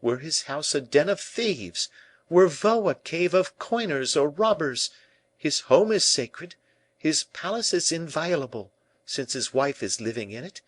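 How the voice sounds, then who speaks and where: A man's voice: fairly high pitch, a bit bright, pitched above the performer's own voice. A man voicing an earnest, devoted young noblewoman of a royal court, pleading in formal speech for an audiobook.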